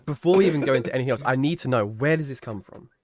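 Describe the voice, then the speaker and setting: Inquisitive British podcast co-host. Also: male voice, medium pitch, racing, a little mellow. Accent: British English. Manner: curious, asks questions.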